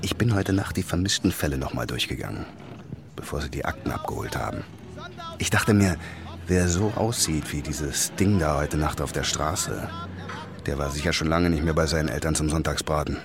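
A calm police detective in 1910s Berlin with a very deep bass voice. The man sounds dry and wryly understated, in radio drama style, with clear background noise of vehicles. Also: moderate pace. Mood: quietly intent.

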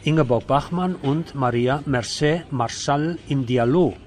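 A man's voice: medium pitch, unhurried, darkish tone. Male radio interviewer, conversational, mid-range voice, recorded with noticeable ambient noise. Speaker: radio host.

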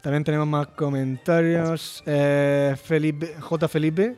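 A man's voice: on the high side, steady tone, slow, darkish tone, clean tone, faint music behind. A man with a Murcian accent hosting a podcast, reading listener messages aloud in a joking, casual way. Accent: Murcian Spanish. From Murcia, Spain. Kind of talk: casual group chat.

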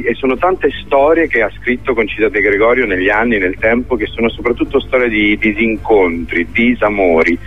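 A man speaking as a theatre director in a conversational radio interview, hesitant and searching for his words. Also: lowish voice. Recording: thin phone-like radio line.

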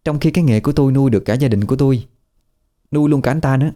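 A man's voice: medium pitch, rapid-fire, dark tone, pitched above the performer's own voice, natural voice. Man doing a brash young woman, Southern Vietnamese. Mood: defiant. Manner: blunt, teasing, mocking.

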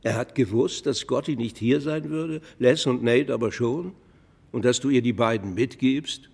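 Husky, skeptical local man. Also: mid-range voice, moderate pace, darkish tone. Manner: challenging, blunt. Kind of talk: German radio drama acting.

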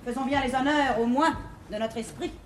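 An actress playing an imperious, learning-obsessed bourgeois matriarch in a classical French verse stage comedy, grand and declamatory. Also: female voice, high voice, moderate pace, natural voice. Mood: commanding. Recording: echoey 1950s theatre recording, faint noise.

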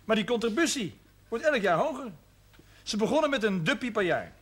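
An actor playing a sceptical, plain-spoken union member in a television drama, questioning and grumbling. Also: male voice, high voice, very animated, brisk, slightly rough, exaggerated voice. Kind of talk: 1970s television drama acting. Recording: noisy old television soundtrack.

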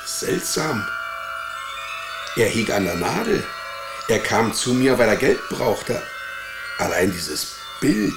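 A man's voice: fairly low pitch, sing-song, slow pace, long pauses. An actor playing a sardonic, wisecracking male private detective, narrating his case in a dry, laconic, ironic way in a radio drama. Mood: musing. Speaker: narrator and lead character. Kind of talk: first-person hard-boiled radio drama narration. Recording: studio radio drama with music behind.

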